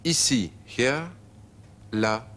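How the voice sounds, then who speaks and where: A man narrating a language lesson, repeating phrases slowly and clearly. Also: medium pitch, a bit bright. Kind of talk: scripted teaching narration.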